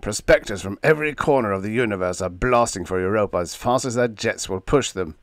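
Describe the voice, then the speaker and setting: A man playing a gruff old space captain. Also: lowish voice, fairly quick, no echo, close to an everyday voice. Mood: stern. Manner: roaring, gruff and blustering.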